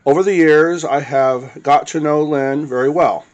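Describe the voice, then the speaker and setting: Higher-voiced man reading a written statement. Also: measured pace, highs cut off. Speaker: narrator reading witness statements.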